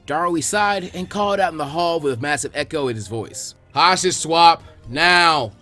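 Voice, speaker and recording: A man reading fan fiction aloud as an expressive scripted narration. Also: fairly high pitch, brisk, faint music behind.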